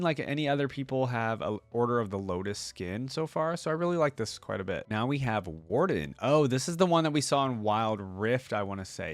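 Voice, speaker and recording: A man's voice: mid-range voice, no echo. A man hosting a reaction video, giving casual, conversational commentary and thinking aloud as he gives his opinions. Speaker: host of a fan reaction video. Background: music.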